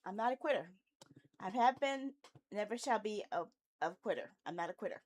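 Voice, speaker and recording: A woman with a medium-pitched voice talks casually as a game streamer to viewers. Her delivery is rambling and candid, with a stubborn, grumbling streak. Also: expressive, a little fast. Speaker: video game livestreamer, host. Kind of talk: casual livestream chat.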